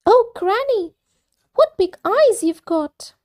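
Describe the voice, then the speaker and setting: A woman voicing a sweet, eager little girl in a storybook reading, with high, breathless cries. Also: expressive, takes it slowly, a little mellow, full character voice.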